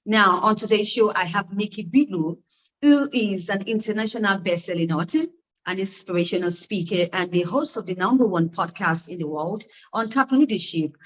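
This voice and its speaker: A woman hosting a podcast interview, introducing her guest and asking questions in a measured way with frequent hesitations. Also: on the low side, muffled recording. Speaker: podcast host.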